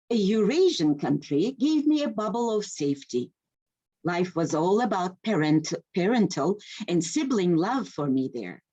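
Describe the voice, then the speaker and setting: A Turkish-accented woman in her sixties, a poet, speaks over a muffled, lo-fi video call. Her pitch moves in lively swings while she fills pauses with hesitant ums, and her reading is measured and deliberate. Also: breathy edge. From Turkey. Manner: hesitant with many fillers, then measured reading. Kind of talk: introducing herself and reading a poem.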